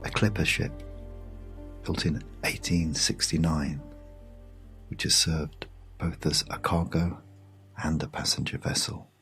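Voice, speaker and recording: A British man narrating a guided sleep meditation story in a hushed, soothing, measured way with long pauses. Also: extremely low pitch, gravelly. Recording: music behind the voice. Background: music.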